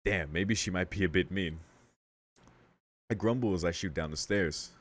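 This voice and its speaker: A man's voice: low-pitched, moderate pace. A man hosting a visual novel playthrough, reading and reacting in slangy, profane casual talk, thinking aloud. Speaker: let's play host.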